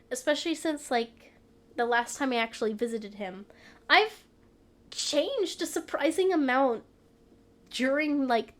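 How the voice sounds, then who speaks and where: A young woman with a stuffy nose, chatting casually and rambling on a live stream from home. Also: on the high side. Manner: rambling, trailing off, frequent laughs.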